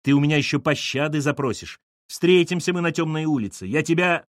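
A man's voice: a little high, a little fast, no room sound, clean studio sound, pitched above the performer's own voice, natural voice. A man voicing a spiteful young male colleague who sneers and threatens, in an audiobook reading. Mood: menacing. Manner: sneering, vicious.

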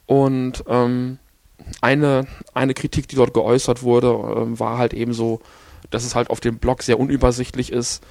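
Hoarse guy hosting his podcast solo, lots of ums and restarts, gravelly, dry sound. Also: medium pitch, monotone, unhurried, darkish tone, dry recording. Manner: rambling, with many fillers and restarts. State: hoarse after illness. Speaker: podcast host. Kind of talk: casual solo podcast talk.

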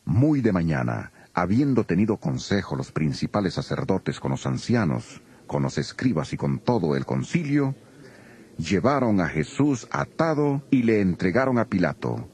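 A man's voice: low-pitched, big pitch swings, moderate pace, darkish tone. A man narrating scripture as a solemn, dramatized scripted reading. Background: music.

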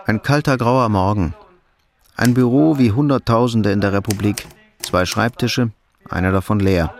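A man narrating a radio drama in a sober, measured, literary storytelling style. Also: on the low side.

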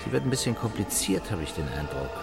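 A man's voice: fairly low pitch, big pitch swings, fast talker, creaky, over loud music. A man playing a wry, exasperated computer graphics wizard in a radio drama, with a blunt, sarcastic manner.

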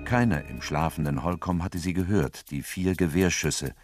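A man reading scripted literary narration as a narrator, measured and matter-of-fact. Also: sits low. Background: music.

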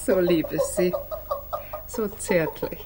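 A woman playing a refined, gentle, reserved older lady in a 1950s German radio play. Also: fairly low pitch, slow, very noisy. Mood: warm, tender.

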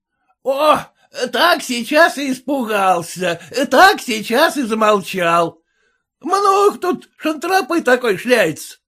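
A drunken, red-faced peasant carter from a storybook. His voice is pitched high and swoops around with a husky edge. He jeers and curses in coarse country speech, dragging out his shouts. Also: very animated, exaggerated voice. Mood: jeering, angry. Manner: cursing, jeering, rustic colloquial speech, drawn-out shouts. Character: loud, abusive, drunken red-faced peasant cart driver, scornful.

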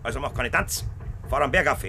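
A man's voice: fairly quick, bright, forceful tone, slight background noise, lightly acted. An actor playing a domineering older Bavarian farmer who bullies and threatens his son, in a 1970s radio play with a Bavarian accent. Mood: menacing. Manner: bullying, stubborn, turns to low threats. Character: domineering older male Bavarian farmer, a greedy tyrant father. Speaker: farmer father.

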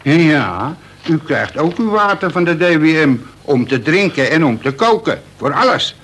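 An actor playing a wily, mock-deferential veteran convict in a Dutch radio play, reciting pedantically with bits of prison slang. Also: male voice, fairly high pitch, big pitch swings, moderate pace, fairly bright tone, slight background noise. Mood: insistent, polite.

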